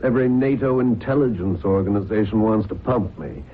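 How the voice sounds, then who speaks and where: Man acting a low-voiced, plain-spoken navy commander. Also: lively intonation, fairly quick, lightly acted. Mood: matter-of-fact. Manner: informal, matter-of-fact. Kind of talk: vintage radio drama acting. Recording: tinny, noisy old radio recording.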